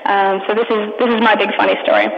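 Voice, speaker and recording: A woman's voice: medium pitch, little pitch movement, fast, gravelly, muffled recording, a little hiss or hum. Woman telling a story in an echoey hall. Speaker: conference speaker, radio hacker community member. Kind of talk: informal talk, telling a personal story. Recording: echoey hall with long reverb.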